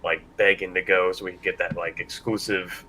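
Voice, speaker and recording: A man's voice: flat delivery, a little fast, bright, forceful tone, low-quality recording. A man in his thirties sharing memories in a casual chat as a panelist. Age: 30s. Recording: faint low rumble behind voice.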